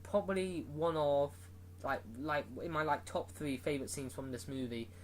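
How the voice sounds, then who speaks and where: A man's voice: a little high, moderate pace, a little hiss or hum, poor audio. A man giving a casual, rambling movie review, full of fillers and restarts.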